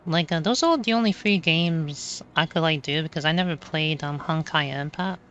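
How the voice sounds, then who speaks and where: A woman doing casual gameplay commentary, rambling and halting as she repeats words. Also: low-pitched, clean tone, lo-fi sound. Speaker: video game streamer.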